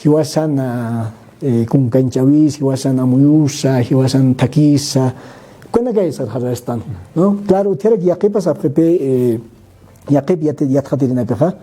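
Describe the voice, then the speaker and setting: Dark husky man thinking aloud. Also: medium pitch, expressive, moderate pace. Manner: thinking aloud, many restarts and "¿no?" tags. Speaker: guest speaker. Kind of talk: conversational explanation, mixing Spanish and Aymara.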